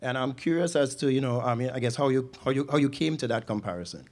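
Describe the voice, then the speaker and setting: Man, quick speech, hesitant, restarts, asking a question. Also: medium pitch, darkish tone. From Trinidad. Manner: hesitant, full of ums and restarts.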